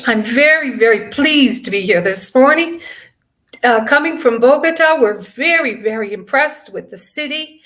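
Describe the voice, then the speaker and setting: A middle-aged woman from Canada giving an earnest conference speech, with many ums and pauses between phrases. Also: a little high, fairly bright tone. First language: English. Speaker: guest speaker.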